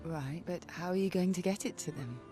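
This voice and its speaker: A woman voicing a quiet, wistful young woman in a video game cutscene, soft and reflective as she confides. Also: lowish voice, a little fast, natural voice. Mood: gentle, curious. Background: music.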